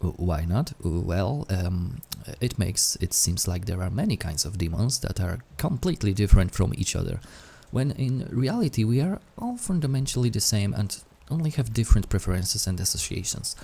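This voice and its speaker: Casting: male actor as intimate incubus demon for roleplay audio, dark mellow tone, gravelly and breathy. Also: on the low side, big pitch swings, moderate pace, hardly any pauses, full character voice. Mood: gentle, awkward, soothing. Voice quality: husky, breathy, often dropping to whisper. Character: incubus sleep paralysis demon, menacing turned awkwardly tender.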